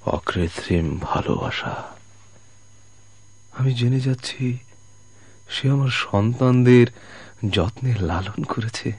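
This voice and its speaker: An actor playing a broken, dying sailor who has returned home, gentle and self-sacrificing, in tragic radio drama style with halting, pleading, emotional delivery. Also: male voice, sits low, no room sound.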